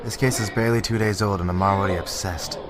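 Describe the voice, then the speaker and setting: An actor playing a hot-tempered, frustrated police detective in an amateur radio drama. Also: male voice, lowish voice, steady tone, fast, noisy background, close to an everyday voice. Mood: weary, serious. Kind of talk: amateur audio drama acting.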